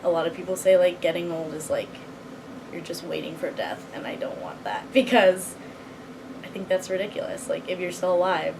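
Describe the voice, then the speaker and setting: A young woman from Boston with a slightly low voice talks casually in an interview. Her phrasing is informal and loose, and a clear air-conditioning hum sits behind her. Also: fairly even intonation, moderate pace. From Boston, United States. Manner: informal, peppered with "like".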